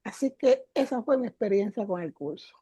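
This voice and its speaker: A woman's voice: mid-range voice, lively intonation, moderate pace, dark tone, breathy edge, no echo, dull audio. An older woman, a guest teacher, telling her story in an interview with emotion, repeating words for emphasis. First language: Spanish.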